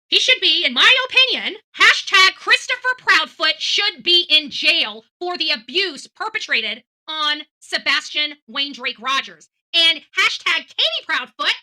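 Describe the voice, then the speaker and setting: A woman's voice: extremely high pitch, bright, forceful tone. A woman giving unscripted, blunt and accusatory opinion commentary as a vlog host.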